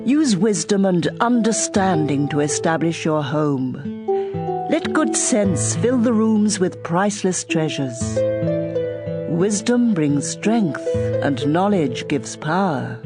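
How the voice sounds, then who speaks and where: An actress playing a wise woman giving measured counsel in a dramatized scripture reading. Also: on the low side, animated. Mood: calm counsel. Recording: music playing behind the voice. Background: plucked guitar music.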